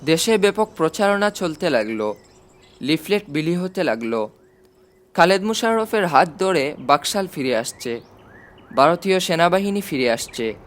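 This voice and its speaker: A man's voice: a little high, fairly bright tone, slight background noise. A man reading a story aloud as scripted narration. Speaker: audiobook narrator.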